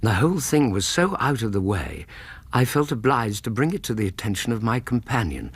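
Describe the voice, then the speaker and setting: An actor playing a middle-aged Victorian English gentleman narrating a radio drama in a measured, literary way, with a husky voice. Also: medium pitch, big pitch swings, no room sound. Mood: measured, vivid.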